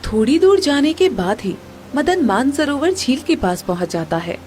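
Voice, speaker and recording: A woman's voice: high voice, sing-song, normal speed, darkish tone, faint music behind. A woman giving scripted narration for a moral story.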